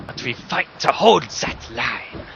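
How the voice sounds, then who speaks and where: A dull, noisy recording carries an actor as a protective male comrade in a fantasy audio drama. He is earnest and dryly sardonic, with a very lively, swinging pitch. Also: slow pace, gravelly, full character voice. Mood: reassuring.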